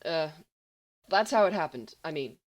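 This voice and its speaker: A woman's voice: low-pitched, expressive, moderate pace, muffled recording. A woman voicing a shy, earnest teenage schoolboy in an audiobook, hesitant and grave as he bluffs gamely. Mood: awkwardly braver. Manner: hesitant, mumbling but audible, grave. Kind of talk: audiobook character voice.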